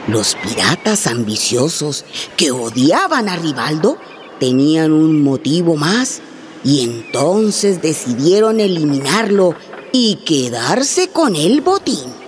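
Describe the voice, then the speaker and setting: A woman playing an old grandmother, tender but firm, telling a tale dramatically in a radio drama with a husky voice. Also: sits low, big pitch swings, slight background noise, full character voice. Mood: suspenseful storytelling. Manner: unhurried, dramatic oral storytelling.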